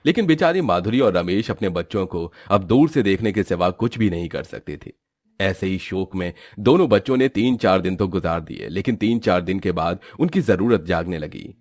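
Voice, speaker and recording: A man's voice: deep voice, lively intonation, moderate pace, darkish tone, dry recording. A man narrating a scripted cartoon story in storybook fashion.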